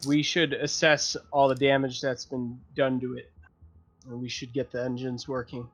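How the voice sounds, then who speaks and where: A man's voice: mid-range voice, flat delivery, normal speed. A man taking part in a tabletop roleplaying game as a player, chatting casually. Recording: music under the voice.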